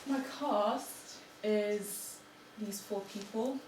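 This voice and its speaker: A young woman giving an informal student presentation in a halting, hesitant way. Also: mid-range voice, darkish tone. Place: room in front of an audience. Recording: echoey, noisy room, distant mic.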